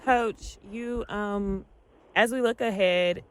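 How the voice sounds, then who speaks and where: A man's voice: high voice, fairly even intonation, slow, a bit bright, slight background noise. A man hosting a conversational sports radio interview. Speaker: radio host.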